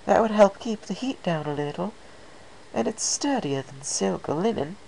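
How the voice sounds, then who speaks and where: Woman acting a genteel, high-pitched lady pony dressmaker. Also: expressive, creaky, darkish tone, a little hiss or hum, rough recording. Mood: appraising, coy. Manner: genteel and theatrical, with drawn-out words. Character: refined, ladylike, fussy female pony dressmaker.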